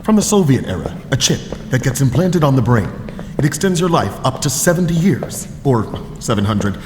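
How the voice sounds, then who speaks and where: An actor playing a careless island doctor-vet, blunt and offhand, who keeps giggling at bad news. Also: male voice, medium pitch, sing-song, moderate pace, darkish tone, a little hiss or hum, natural voice. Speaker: physician and veterinarian. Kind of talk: comedic audio drama acting. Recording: reverberant room with music.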